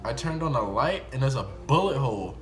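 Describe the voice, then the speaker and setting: A young man with an American accent telling a casual, slangy first-person story in an animated way, acting out bits of dialogue. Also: medium pitch, a little fast. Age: young adult. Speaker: YouTube storyteller.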